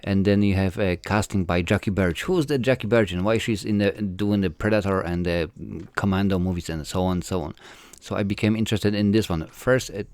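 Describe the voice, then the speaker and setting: Polish filmmaker, 40s, low and smooth, halting answers full of fillers. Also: male voice, a little mellow, no echo. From Poland. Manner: hesitant, many fillers and restarts. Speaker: filmmaker guest. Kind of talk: casual interview answers.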